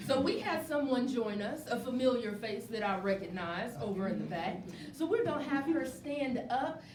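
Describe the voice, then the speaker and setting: A woman's voice: fairly high pitch, animated, moderate pace, crowd noise. A woman hosting a live interview, encouraging and affirming the people she talks to. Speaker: host interviewing a stage play cast. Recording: noisy, echoey room, distant mic.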